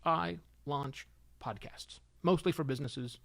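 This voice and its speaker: A middle-aged man hosting a solo show, giving informal advice in an animated, emphatic way with conversational asides. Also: fairly high pitch, measured pace, creaky. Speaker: podcast host and consultant.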